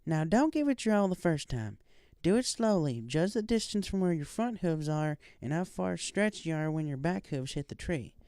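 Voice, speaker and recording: A man's voice: fairly high pitch, sing-song, moderate pace, mellow, clean tone, no room sound, studio quality, voice pushed up. A man voicing a hearty female farm pony who gives folksy, encouraging instruction in an audiobook story. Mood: instructive.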